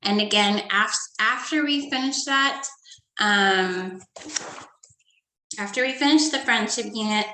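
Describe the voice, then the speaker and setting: Slow bright-voiced woman teacher. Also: mid-range voice, echoey room, slight background noise, low-quality recording. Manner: slow, gentle, talks to children with frequent "okay?" checks. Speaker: first grade teacher. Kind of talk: reading a story and explaining a study guide to young children.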